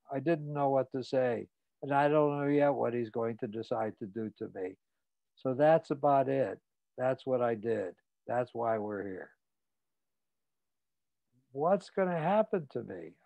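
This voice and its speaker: A man's voice: fairly high pitch, creaky, no echo, narrow, tinny audio. A man voicing a muted, ashamed confessor. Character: ashamed, frightened middle-aged man confessing. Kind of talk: audiobook reading.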